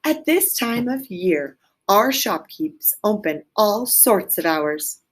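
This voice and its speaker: A respectful field mouse from a children's storybook, acted out by a woman. It is the polite leader of a band of small carolers and speaks in a deliberate, earnest way. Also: mid-range voice, very animated. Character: polite elder field mouse leading little carol singers.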